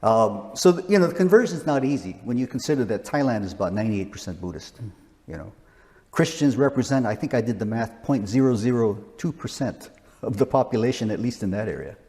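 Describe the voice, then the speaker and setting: A man's voice: mid-range voice, expressive, fairly quick, dark tone, long reverb tail. A man in his sixties, a missionary, talking casually in an interview with frequent restarts. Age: 60s. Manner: rambling, frequent restarts and chuckles.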